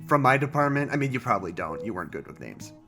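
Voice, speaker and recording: An actor playing an earnest, resentful male scientist in an audio drama, confiding in a halting, rambling way. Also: mid-range voice, big pitch swings, music in the background. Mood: earnest, uneasy. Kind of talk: audio drama monologue, naturalistic.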